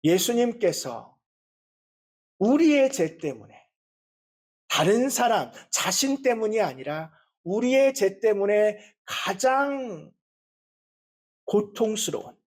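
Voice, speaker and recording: A male pastor giving a Sunday sermon in an earnest, measured way, with a voice he calls not strong and easily strained. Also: high-pitched, big pitch swings, darkish tone. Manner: earnest, with measured pauses and frequent fillers.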